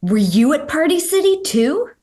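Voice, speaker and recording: Boy leader of a cartoon rescue team female performer: earnest, high-ish, highly animated. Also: moderate pace. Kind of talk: table read of a children's story script.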